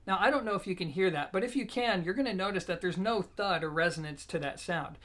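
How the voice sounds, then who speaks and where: Mid-pitched hi-fi gear reviewer. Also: fast, fairly bright tone. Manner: explanatory, addresses viewers informally as guys. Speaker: product reviewer and show host. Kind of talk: audio gear review presented to camera.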